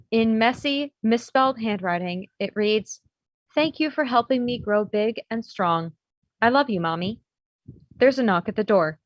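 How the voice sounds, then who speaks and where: A woman's voice: medium pitch, dry recording. A woman narrating the stage directions of a script at a table read. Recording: dull, slightly lo-fi audio.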